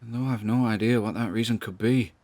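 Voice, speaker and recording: A man's voice: on the low side, fairly even intonation, brisk, dark tone, lightly acted. A man playing a nervous, wry grandson who records audio logs, in a conversational, musing audio drama monologue.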